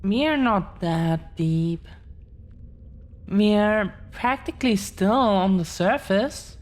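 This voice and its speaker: A woman playing an affectionate, earnest girlfriend of dragon descent in an intimate roleplay audio, speaking gently with lingering pauses. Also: mid-range voice, expressive. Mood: tender, reassuring. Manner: gentle, hesitant, lingering pauses between phrases.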